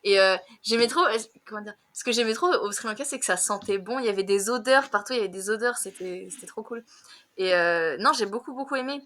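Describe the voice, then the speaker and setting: A young woman tutoring French on a video call. She talks fast, laughs a lot and fills her speech with hesitations. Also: mid-range voice, noisy background. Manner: fast-talking, giggly, many fillers. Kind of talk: casual conversation lesson.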